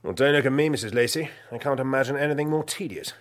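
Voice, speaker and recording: A man's voice: medium pitch, quick pace, lightly acted. An actor as a sneering, bored young man.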